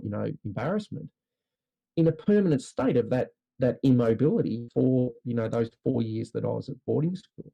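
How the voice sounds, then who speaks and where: Australian man, podcast guest; husky, gravelly, deep mellow tone, earnest explainer. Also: poor audio. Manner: earnest, thoughtful explaining with frequent "you know". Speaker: podcast guest, trauma release practitioner. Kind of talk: reflective podcast conversation.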